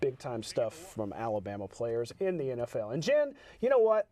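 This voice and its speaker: A man hosting a sports show, calling highlights and putting questions to guests in broadcast style. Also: wildly swooping pitch, moderate pace, dark tone, no echo. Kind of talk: upbeat sports highlight commentary and interview.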